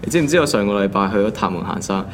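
An actor playing a young office worker who grumbles and answers back casually in a radio drama. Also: male voice, on the low side, animated, very fast, natural voice. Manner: grumbling, casual, dry retorts. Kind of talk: Cantonese radio drama acting.

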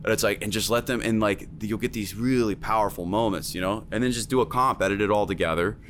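A man's voice: lowish voice, fast, fairly bright tone, slight background noise. A man working as a music producer and teacher, talking emphatically in a casual chat, with lots of fillers.

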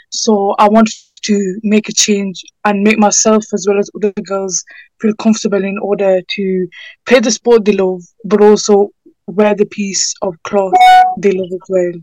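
A woman's voice: medium pitch, a little mellow, dry recording, rough recording. A sixteen-year-old young woman with a British accent, giving informal, earnest and somewhat hesitant answers in an interview. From United Kingdom. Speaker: interviewee, student campaigner.

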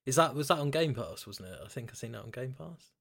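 A man's voice: medium pitch, animated, fast, vocal fry, a bit bright. A man hosting a podcast in casual, informal chat, with a bantering way of talking. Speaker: podcast host.

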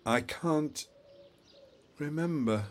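An actor playing a forgetful, childlike, playful elderly husband in an audio play, halting and deliberate in his speech. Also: fairly high pitch. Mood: confused. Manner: halting, deliberate, spelling words out slowly.

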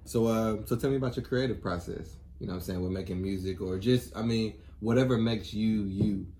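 A man co-hosting an interview, relaxed and affirming as he asks questions. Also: lowish voice, fairly quick, slight background noise. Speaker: interview co-host. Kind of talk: casual interview talk.

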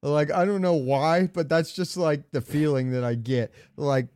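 A man's voice: on the high side, fairly even intonation, unhurried, a little mellow. A man co-hosting a podcast, chatting casually about games in loose, slangy language. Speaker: podcast co-host. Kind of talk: casual gaming banter and debate.

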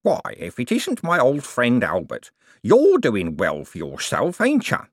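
A man's voice: medium pitch, wildly swooping pitch, measured pace, no echo, studio quality. A man doing a spluttering, wheedling old con man. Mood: sly. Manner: spluttering through ill-fitting false teeth. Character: older male con man, wheedling and nasty.

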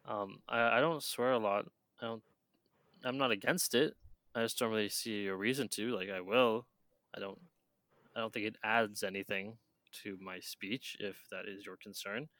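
A young man co-hosting a casual podcast, calm and measured, speaking plainly. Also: lowish voice, no echo. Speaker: podcast co-host. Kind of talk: casual chat.

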